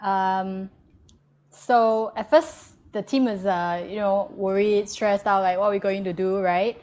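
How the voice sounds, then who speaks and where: A woman, a business owner speaking in a live webinar with a measured, hesitant manner. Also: medium pitch, steady tone, a little mellow.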